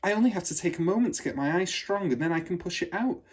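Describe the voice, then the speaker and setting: A man voicing an eager, inquisitive, excitable young schoolgirl from a children's storybook, in a breathless manner. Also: sits high, quick pace, breathy edge, voice pushed up. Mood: excited. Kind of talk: children's storybook read aloud.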